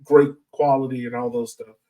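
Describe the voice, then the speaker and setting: A man's voice: medium pitch, little pitch movement, normal speed, warm tone, recorded from a distance. An American man hosting a podcast in a casual, informal way, speaking directly to his listeners from a little way off the mic. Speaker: podcast host.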